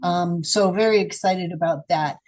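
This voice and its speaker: A woman's voice: on the low side, normal speed, a little mellow. Older woman giving an informal update. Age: older, grandmother. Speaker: school board chair.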